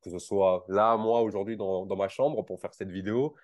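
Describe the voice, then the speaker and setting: A man's voice: fairly low pitch, moderate pace, no room sound, clean studio sound. A man in his late twenties hosting a casual interview from his bedroom, with an informal, slangy way of talking. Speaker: interviewer and host. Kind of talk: casual video call interview. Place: bedroom at home.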